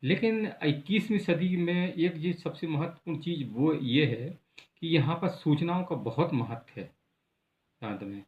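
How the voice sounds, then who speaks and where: An Indian man presenting an unscripted news explainer in a halting, repetitive way, leaning on filler words. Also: on the high side, animated. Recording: echoey room, thin phone-like sound.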